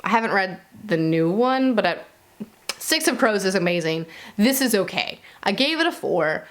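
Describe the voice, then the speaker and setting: A woman's voice: mid-range voice, animated, normal speed. Casual, chatty woman reviewing for viewers. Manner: chatty, informal, peppered with "like". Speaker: book reviewer and video host. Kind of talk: casual monthly reading wrap-up to viewers.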